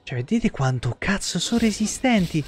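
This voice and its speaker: A man's voice: sits high, very animated, moderate pace. A young man giving casual live game commentary, muttering and swearing in exasperation to his viewers. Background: video game music.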